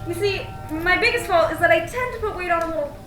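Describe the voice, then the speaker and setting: A woman's voice: extremely high pitch, fast, over music. A woman playing a gushing, eager middle-aged woman. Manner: gushing, chatty. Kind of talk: school stage play acting. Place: theatre stage. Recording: echoey theatre, distant mic, noisy.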